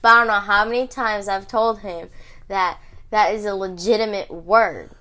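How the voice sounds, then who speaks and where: A woman's voice: mid-range voice, steady tone, normal speed, sharp tone. A young woman chatting informally and teasingly as a podcast co-host. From United States, Texas. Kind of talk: casual chat.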